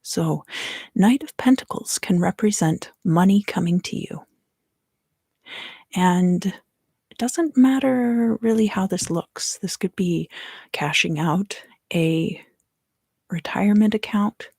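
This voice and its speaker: A woman giving an ASMR tarot reading, soft-spoken and often whispering, explaining things unhurriedly in short phrases, close to the microphone. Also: mid-range voice, slow pace, creaky, pauses often, slightly rough, no echo. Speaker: tarot reader and ASMR host.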